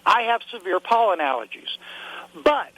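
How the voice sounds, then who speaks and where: A man's voice: sits high, big pitch swings, moderate pace, a bit bright, dry recording, rough recording. A man calling in to a talk show, indignant and rambling, with a stuffy nose and a cough. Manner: indignant, rambling, repeats himself. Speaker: caller. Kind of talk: call-in chat.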